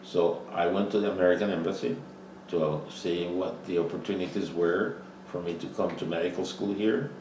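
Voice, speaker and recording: Older Costa Rican man, very deep voice. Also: fairly even intonation. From Costa Rica. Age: 70s. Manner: halting, frequent "you know" fillers. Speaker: interviewee. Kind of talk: oral history interview. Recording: roomy, distant mic with faint noise.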